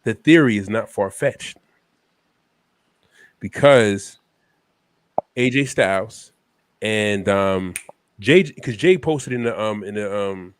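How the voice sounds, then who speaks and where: A man's voice: lowish voice, takes it slowly, long pauses, a little hiss or hum. A man with a stuffy head cold hosting a laid-back wrestling talk show, tossing "man" into almost every line. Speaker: podcast host. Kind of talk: casual wrestling chat.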